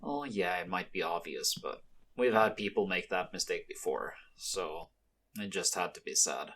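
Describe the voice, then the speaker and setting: A man acting in an ASMR roleplay as a mafia boss's son, a soft-spoken, hesitant and protective young man with gentle, measured delivery. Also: sits low, animated. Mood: measured, instructive.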